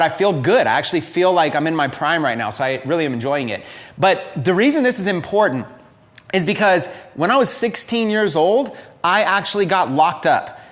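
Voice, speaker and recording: A man's voice: on the high side, fairly bright tone. A man in his late thirties giving a candid, unscripted motivational talk, openly confessing his past to viewers. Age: 30s. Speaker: entrepreneur and coach.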